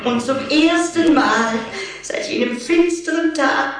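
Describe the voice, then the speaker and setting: An actress playing a sentimental, easily indignant widowed vampire aunt in an audio play, speaking in a formal, theatrical, drawn-out way. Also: female voice, sits high. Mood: solemn, sentimental. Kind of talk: German audio play acting. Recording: very echoey, distant from the mic.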